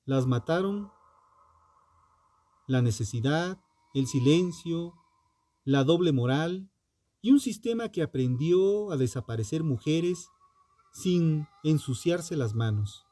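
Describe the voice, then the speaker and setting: A man narrating a scripted true crime podcast in a dramatic, solemn and deliberate manner, with ominous rhetorical questions. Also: a little high, animated, dark tone, dry recording.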